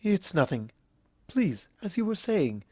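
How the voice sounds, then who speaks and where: A man voicing a reserved, regal alicorn princess with a hesitant, soft-spoken manner in a story reading. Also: high voice, sing-song, slow pace, no echo, clean studio sound, pitched above the performer's own voice, exaggerated voice. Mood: composed. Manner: hesitant, quiet.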